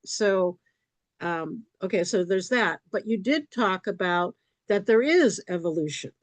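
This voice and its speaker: Woman asking a q at an online event, careful n halting, dry close sound. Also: medium pitch, expressive, dry recording. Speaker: audience member asking a question. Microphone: video call.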